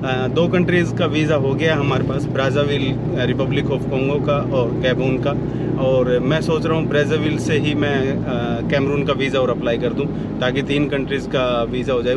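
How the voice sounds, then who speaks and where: A man in his thirties vlogs his travels into a handheld action camera, relaxed and conversational. Car and street noise rumble loudly behind him throughout. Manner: chatty, talks straight to a handheld camera. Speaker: travel vlogger. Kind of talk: casual travel vlog narration. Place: inside a car and in city streets. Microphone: action camera at arm's length. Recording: loud traffic and street noise.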